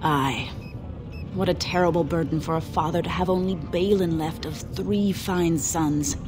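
Brief: actress as a proud, solemn dwarf noblewoman in a fantasy game; lowish voice, measured delivery. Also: lightly acted. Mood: grave. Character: proud young female dwarf noblewoman, grave. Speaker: game character. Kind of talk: video game voice acting. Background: game music.

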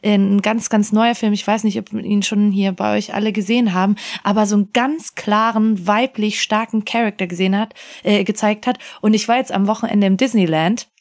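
Woman, medium pitch, podcast co-host, casual panel chat, long run-on thoughts. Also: fairly even intonation, fairly quick, hardly any pauses, a little mellow.

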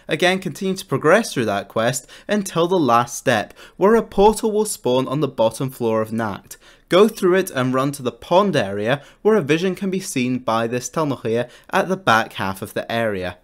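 A man narrating a scripted video game guide in an informal, enthusiastic way, like a YouTuber. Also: medium pitch. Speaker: gaming YouTuber.

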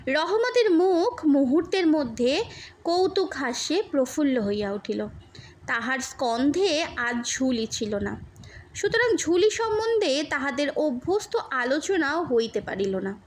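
A woman with a notably high voice reads literary fiction aloud as a narrator. Her pace is slow, and she sets out each phrase deliberately, leaving pauses between them. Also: sing-song, a little hiss or hum. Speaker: audiobook narrator. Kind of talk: literary short story narration.

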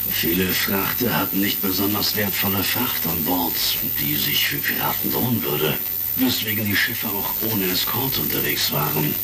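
An actor playing a worried, measured and authoritative intelligence chief in a German sci-fi audio drama. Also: male voice, deep voice, gravelly, full character voice. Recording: distant, noisy retro crackle.

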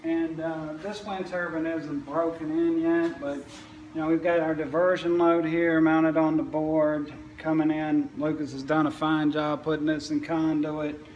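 A man in his forties giving an informal, halting, matter-of-fact walkthrough of equipment he installs. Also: fairly high pitch, level tone, darkish tone, lo-fi sound. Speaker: wind power equipment installer. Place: indoors in a utility room or garage, on camera. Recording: echoey room, faint background noise.

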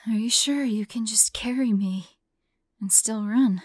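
A woman playing a devoted young heroine in an audio roleplay, halting and breathy, often dropping into whispers. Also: on the high side, a little mellow, dry recording, clean studio sound. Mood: exhausted, urgent. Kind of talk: intimate acted audio roleplay.

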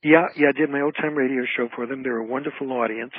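A man's voice: medium pitch, fairly even intonation, fast, husky, no echo. An older man, an entertainer talking as an interview guest, emphatic and given to repeating his phrases. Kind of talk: conversational interview. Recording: thin, tinny phone line.

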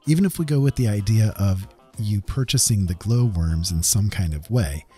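A man's voice: fairly low pitch, lively intonation, normal speed, mellow, slightly rough. A man narrating a gameplay video in a semi-scripted, explanatory voice-over. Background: background music.

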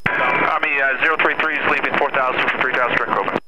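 A man's voice: mid-range voice, steady tone, quick pace. A man making clipped pilot calls in standard phraseology over aviation radio. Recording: very noisy aviation radio transmission.

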